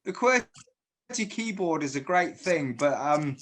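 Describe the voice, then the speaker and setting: British guy from guernsey, accent half channel islands half yorkshire, slightly high voice, self-deprecating, keeps restarting. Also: animated, slow, fairly bright tone. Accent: British English mixing Channel Islands and South Yorkshire. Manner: chatty, self-deprecating, restarts his sentences often. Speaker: interviewer. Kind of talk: casual video chat.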